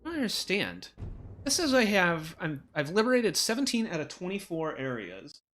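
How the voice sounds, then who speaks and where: Man, young adult, higher voice, rambling, hesitant. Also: very animated, moderate pace, fairly bright tone. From Ohio, USA. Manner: rambling, hesitant, many fillers and restarts. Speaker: co-host. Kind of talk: casual gameplay chat.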